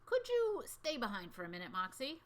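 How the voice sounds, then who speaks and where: A woman's voice: on the high side, wildly swooping pitch, a little fast, a little hiss or hum, pitched above the performer's own voice. Woman voicing a kindly, curious male schoolteacher. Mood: questioning. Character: kindly, curious male fourth grade teacher.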